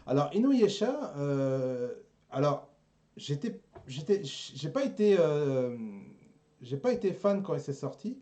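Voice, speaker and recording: A man's voice: a little high, sing-song, takes it slowly, mellow. A man in casual chat as a show panelist, hesitant and often repeating words as he searches for the next one. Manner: hesitant, stammering repetitions of words.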